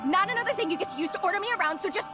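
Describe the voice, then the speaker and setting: An actress playing an overworked, exasperated young office worker in a video game, weary and pointed in delivery. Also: female voice, extremely high pitch, lively intonation, racing. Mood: fed up, indignant. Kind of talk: dramatic video game voice acting. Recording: music playing behind the voice.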